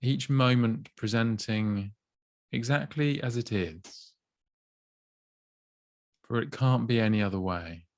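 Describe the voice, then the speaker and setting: A man gently guiding a body scan meditation, slow and soothing with long pauses. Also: mid-range voice, no room sound, clean studio sound. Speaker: mindfulness teacher.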